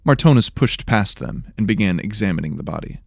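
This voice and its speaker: Low, creaky male story narrator. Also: lively intonation, a little fast, no room sound, clean studio sound. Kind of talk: scripted fantasy audio drama narration.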